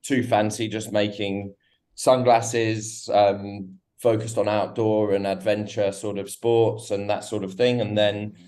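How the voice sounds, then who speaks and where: A man's voice: fairly low pitch, little pitch movement, a little slow, a little mellow. A man being interviewed over a remote call, talking with many restarts and hesitations. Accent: foreign-sounding accent, South African company. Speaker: guest, company co-founder.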